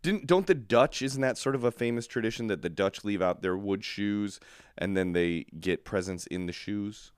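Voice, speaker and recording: Low-voiced guy, bouncy delivery, teasing his cohost, dry mic. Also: moderate pace, clear, resonant tone, no echo. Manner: teasing, eager, encouraging. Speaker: podcast co-host. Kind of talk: casual chat.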